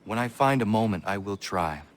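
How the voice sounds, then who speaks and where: An actor playing a stoic, earnest young warrior in a video game, speaking in a formal, clipped way. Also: male voice, fairly low pitch, animated, normal speed, lightly acted. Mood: solemn. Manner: formal, clipped, avoids contractions.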